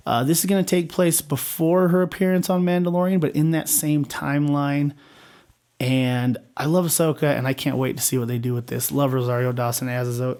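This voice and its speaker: A young man hosting his own channel, talking casually and upbeat about upcoming series, with a slightly hoarse voice and many fillers. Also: medium pitch, a little mellow. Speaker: video host. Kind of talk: casual enthusiastic fan commentary.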